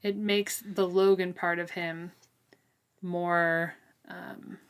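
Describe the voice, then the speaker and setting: A woman co-hosting a relaxed, conversational book discussion. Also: fairly low pitch, slow, clean tone. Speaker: book podcast co-host.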